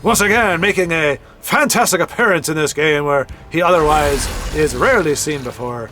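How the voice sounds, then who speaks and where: Brief: male card-game caster, slightly high voice, rambling and self-correcting, casual play-by-play. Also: moderate pace, bright, forceful tone, soft background music. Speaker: card game commentator and show host.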